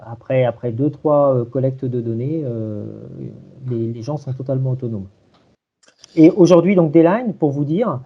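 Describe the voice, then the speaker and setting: A man giving an informal technical demonstration over a video call, in a halting way with repeated words. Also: on the high side, mellow. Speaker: presenter of a software tool. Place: remote video conference. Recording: dull, lo-fi online call.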